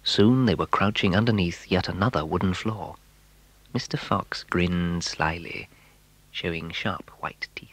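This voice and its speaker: A man's voice: low-pitched, measured pace, dry recording, low-quality recording. A man narrating a children's story in a dramatic storytelling manner.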